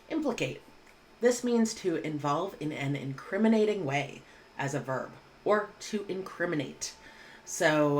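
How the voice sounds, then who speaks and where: A woman, teaching English vocabulary in a clear, measured way with casual asides, recorded at home on a webcam. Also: sits low. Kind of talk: vocabulary lesson. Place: home room indoors.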